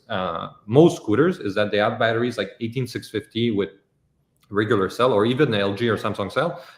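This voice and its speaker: A man with a French accent and French as his native language, answering questions in a casual, informal way and explaining things step by step. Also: on the low side. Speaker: company host answering viewer questions. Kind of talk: casual live Q&A, technical explanation. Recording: echoey room.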